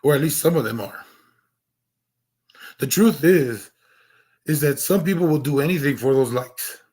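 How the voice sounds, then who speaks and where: A hoarse man losing his voice, talking earnestly on a stream with lots of restarts and fillers. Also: on the high side, expressive, moderate pace, a little mellow. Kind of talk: casual livestream monologue.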